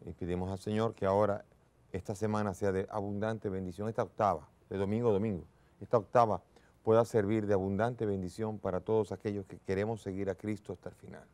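A man in his sixties, a bishop, leading prayers and speaking to the faithful at Mass in a large church, in a solemn, measured way. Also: on the low side, steady tone, darkish tone, no echo. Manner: solemn, measured liturgical delivery. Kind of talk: liturgical prayer and homily.

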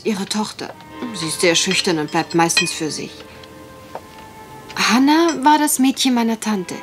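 An earnest young heiress, played by a woman, confides her troubles in a medium-pitched voice. She is polite and hesitant, in the manner of a radio crime play. Faint music sits behind her. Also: lightly acted. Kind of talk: German radio crime drama acting.

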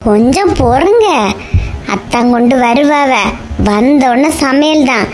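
A woman voicing a placid younger village wife with a measured drawl and a Kanyakumari Tamil accent in a cartoon. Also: sits high, expressive, darkish tone, faint music behind. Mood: matter-of-fact. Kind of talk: comic cartoon dialogue.

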